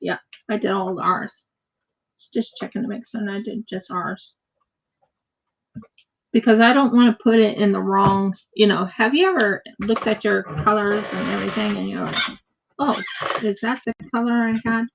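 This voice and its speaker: A woman's voice: mid-range voice, normal speed, creaky, muffled recording, a little hiss or hum. An American woman chatting casually and rambling as she crafts on a livestream, often repeating her words. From United States. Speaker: craft streamer.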